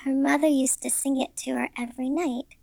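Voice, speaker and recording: A woman's voice: high-pitched, steady tone, brisk, gravelly, mellow, no echo, voice pushed up. A woman voicing an eerie, frightened six-year-old girl in a ghost story, with a childlike delivery. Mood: matter-of-fact, eerie.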